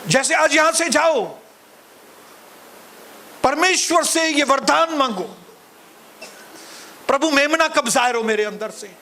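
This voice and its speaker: A male pastor preaching a sermon, calling out to the congregation and later praying softly. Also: slight background noise. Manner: preaching, rising to shouted calls to the congregation; soft, low, slow in prayer. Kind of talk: sermon and closing prayer.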